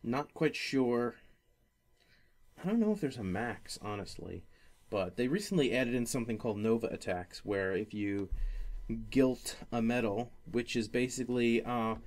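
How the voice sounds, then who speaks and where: Rambling, halting man chatting casually. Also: mid-range voice, expressive, darkish tone. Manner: rambling, halting, trailing off and circling back. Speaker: podcast host. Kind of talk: casual gaming podcast chat.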